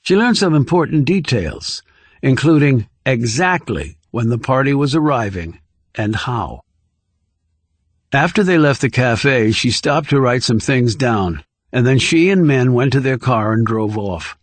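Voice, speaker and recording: A man reading scripted thriller narration in a measured, composed way. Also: medium pitch, lively intonation, no room sound. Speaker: audiobook narrator.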